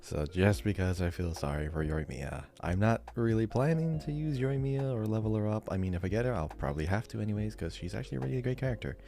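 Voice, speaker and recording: Deep-voiced man with a gravelly edge. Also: quick pace, hardly any pauses, mellow. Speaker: podcast co-host. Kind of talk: casual podcast chat, reading listener answers and commenting.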